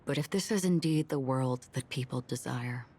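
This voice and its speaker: An actress playing a melancholy, forgetful swordswoman in a game, speaking in a measured, soft and reflective way. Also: a bass voice, slightly rough. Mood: solemn, reflective. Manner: measured, solemn, soft-spoken. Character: melancholy, forgetful swordswoman, calm and enigmatic wanderer. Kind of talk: scripted game dialogue, reflective storytelling.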